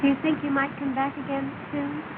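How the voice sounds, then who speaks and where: A gentle young woman , played by an actress, speaks in a soft, pleading, refined manner. Her voice is high and light. It comes through a tinny, hissing 1930 film track. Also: completely flat delivery, brisk, natural voice.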